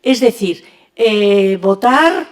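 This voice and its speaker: A woman's voice: medium pitch, animated, very slow. An older woman from Spain, moderating an academic round table and talking conversationally with frequent restarts. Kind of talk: academic panel discussion. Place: university lecture hall.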